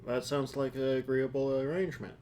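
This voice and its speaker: A man's voice: mid-range voice, flat delivery, fairly quick, a little mellow, a little hiss or hum, close to an everyday voice. A man playing a formal vampire negotiating a deal at a tabletop game, speaking in a stiff, polite way. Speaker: tabletop player.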